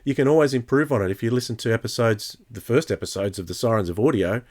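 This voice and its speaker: A man's voice: medium pitch, fast talker, a little mellow. A man giving his considered opinions in a casual podcast discussion. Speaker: podcast host.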